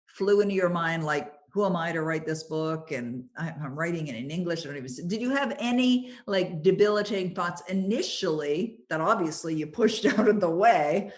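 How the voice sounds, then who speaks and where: A middle-aged woman from Canada hosting a podcast interview, chatty and encouraging, with quick asides and fillers. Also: on the low side, animated. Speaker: podcast host. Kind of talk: interview conversation.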